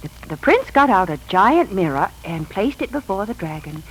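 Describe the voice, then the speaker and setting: An American actress playing a tender, hopeful young mother in a 1940s radio drama, soft-hearted and earnest. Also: medium pitch, big pitch swings, normal speed, a little hiss or hum, close to an everyday voice, rough recording. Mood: playful storytelling. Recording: old 1940s radio recording, music behind.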